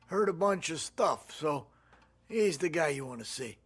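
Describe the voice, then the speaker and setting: Casting: shifty snitch, higher-pitched and rough, cagey, wheedling, music behind. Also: male voice, expressive, a little slow. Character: sly, cagey male street informant haggling over secrets. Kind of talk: cinematic crime game acting.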